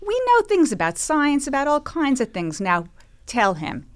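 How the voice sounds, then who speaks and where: A woman's voice: high-pitched, wildly swooping pitch, moderate pace. A woman playing a self-important, skeptical amateur scientist and reporter in an improvised audio drama, emphatic and argumentative. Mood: exasperated, smug.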